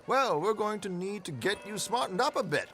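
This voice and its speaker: A man's voice: high voice, lively intonation, moderate pace, a bit bright. An actor playing a dapper, scheming con man in a video game, affected and condescending. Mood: breezy, scheming. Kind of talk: cinematic video game acting. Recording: music behind the voice.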